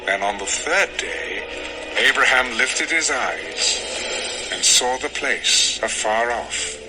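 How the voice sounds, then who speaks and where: A man's voice: medium pitch, lively intonation, rough recording. Male scripture narrator over music. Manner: measured, formal. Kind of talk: solemn dramatized scripture narration. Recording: music under the voice.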